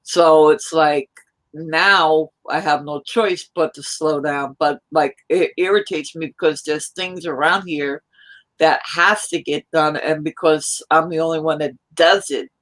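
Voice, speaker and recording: A woman from New York chatting casually on a live stream, rambling in a loud, hyper way. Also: deep voice, fairly even intonation, unhurried, poor audio. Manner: rambling, hyper, self-described loud talker.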